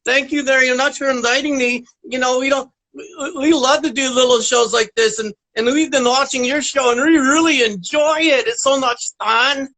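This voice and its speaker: High-voiced, eager baby raptor. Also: fairly even intonation, moderate pace, pushed and bright, poor audio. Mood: friendly, eager. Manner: childlike, repeats little words, storytelling. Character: friendly young baby raptor dinosaur puppet, eager and chatty. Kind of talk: children's puppet show.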